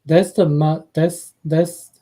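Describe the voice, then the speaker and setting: A man's voice: a little high, level tone, slow, warm tone. A man from China with a Chinese accent, a radio interview guest speaking hesitantly with many fillers. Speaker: guest author and translator.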